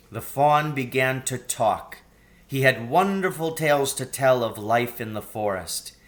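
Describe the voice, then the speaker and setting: A man reading a children's story aloud in an expressive narrating style. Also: mid-range voice. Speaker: audiobook reader.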